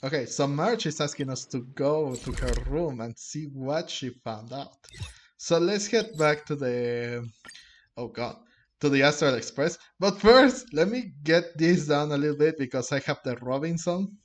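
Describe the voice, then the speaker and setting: A man doing casual gameplay commentary, thinking aloud in a halting way and sometimes reading game text. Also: on the high side. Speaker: game streamer. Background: game music.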